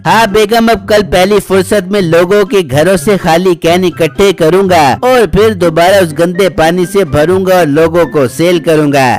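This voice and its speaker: A man's voice: high-pitched, normal speed, few pauses, lightly acted. A man voicing village men in an Urdu cartoon story, with music behind him. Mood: scheming, boastful. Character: cartoon village men: scheming seller, customers, shopkeeper, father.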